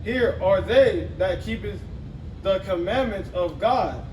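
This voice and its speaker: A man's voice: high voice, animated, slow pace, darkish tone. A young man reading scripture aloud in a declamatory way, phrase by phrase, on the street. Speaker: scripture reader in a street preaching group. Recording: distant, echoey street with traffic noise.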